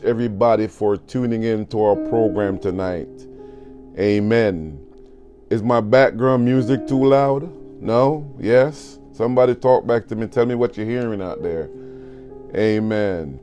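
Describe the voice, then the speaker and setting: A man in his fifties preaches to an online congregation from a desk webcam, and his voice is strong and loud, with a dark, mellow tone. He talks with exuberance, waving his hands and calling out to the people watching. Faint background music plays behind him. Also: medium pitch. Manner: exuberant, gesturing and calling out to viewers. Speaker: pastor. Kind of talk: online church livestream sermon. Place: home studio with city backdrop.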